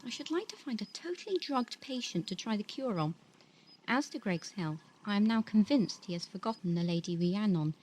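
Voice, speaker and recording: A woman playing a clinical space-station physician dictating a formal medical log in an audio drama, measured and composed. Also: medium pitch, mellow, slight background noise, lightly acted, low-quality recording. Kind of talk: amateur audio drama monologue.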